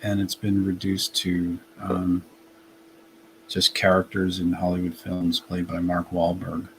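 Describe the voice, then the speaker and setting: A man's voice: low voice, completely flat delivery, a little slow, a bit bright, slight background noise, low-quality recording. A man with a light Massachusetts accent, a guest in a casual live chat, correcting people in a plain, matter-of-fact way.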